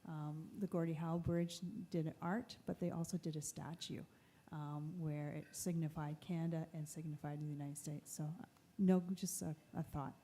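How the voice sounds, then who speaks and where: A woman's voice: deep voice, level tone, moderate pace, dark tone, a little hiss or hum. A woman councillor asking a polite question at a public meeting, hesitant with many ums. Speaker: city councillor.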